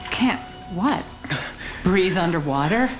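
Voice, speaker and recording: A woman's voice: lowish voice, expressive, slow. An actress playing a sinister, coaxing mother in an audio horror drama, with a composed manner. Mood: calm menace. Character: sinister, coaxing mother calmly drowning her husband. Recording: music and bathwater behind.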